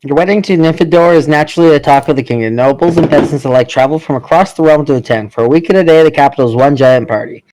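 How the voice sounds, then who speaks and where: A man's voice: on the high side, fast, few pauses, darkish tone, rough recording. A man reading story narration aloud as a player in a party game.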